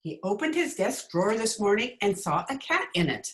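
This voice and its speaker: A woman playing a wry, quick-witted schoolteacher in old-time radio comedy style, with dry wisecracks. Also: medium pitch, big pitch swings, fairly bright tone. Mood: wry, covering up. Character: wry, quick-witted female schoolteacher covering for her boss.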